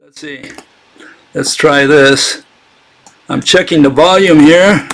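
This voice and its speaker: A man's voice: a little high, slow, fairly bright tone. A man hosting a casual live-streamed talk, sarcastic and chatting with his viewers as he goes. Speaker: channel host giving a critique.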